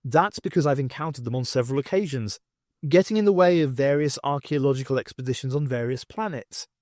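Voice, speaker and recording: A man voicing a sharp, inquisitive woman adventurer who questions things emphatically. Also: medium pitch, brisk, no room sound, studio quality, natural voice. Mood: urgent, emphatic.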